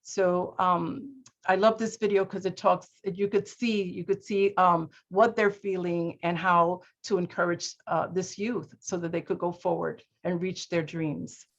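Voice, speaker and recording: A woman's voice: on the low side, fairly even intonation, measured pace. A woman speaking off the cuff as a community prevention worker on a video call, often repeating words as she hunts for phrasing. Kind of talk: unscripted webinar remarks. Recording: dull lo-fi video-call audio.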